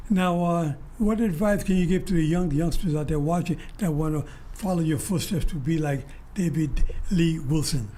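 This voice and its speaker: A man hosting an interview, asking relaxed questions with frequent fillers. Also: a little high, normal speed, hardly any pauses. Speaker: host. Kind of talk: casual interview.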